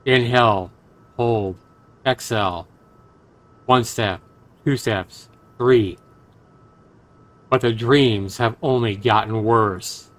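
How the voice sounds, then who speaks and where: A man's voice: mid-range voice, slow, pauses often, fairly bright tone. A man livestreaming a game, mixing commentary with dramatic reading aloud as host. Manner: profane, exclamatory reactions.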